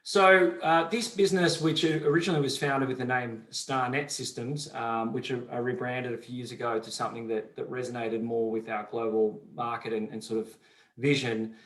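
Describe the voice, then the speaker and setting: A middle-aged man with a softened Australian accent, answering interview questions in a measured, explanatory way with frequent fillers. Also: mid-range voice, fairly even intonation. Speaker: company CEO, guest. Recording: roomy echo.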